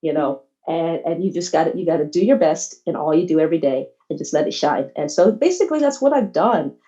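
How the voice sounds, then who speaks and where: A woman from Texas giving an informal, earnest and halting personal account as a panelist on a video call. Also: sits low, animated, warm tone. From Texas, United States. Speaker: panelist, athletic trainer. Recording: slightly dull video call audio.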